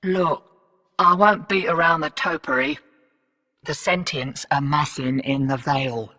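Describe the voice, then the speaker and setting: An actress playing a brash, sardonic British mercenary who gives cheeky, slangy, commanding mission orders in a video game, with distant, reverberant processing. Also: female voice, low voice, unhurried, sharp tone, exaggerated voice. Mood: businesslike, urging.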